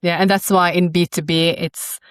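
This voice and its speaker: A woman giving halting, thoughtful answers as a guest in a casual interview. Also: on the low side, level tone, clean tone, dry recording, clean studio sound. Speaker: chief marketing officer, podcast guest.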